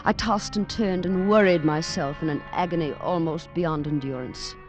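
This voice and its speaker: A woman's voice: fairly low pitch, normal speed, darkish tone, natural voice. An actress playing a distraught, breathless young woman in an old radio drama, anxious and stammering. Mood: haunted narration. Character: distraught young woman searching for her missing mother. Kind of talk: 1940s-50s radio drama acting with first-person narration. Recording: old radio recording with music behind.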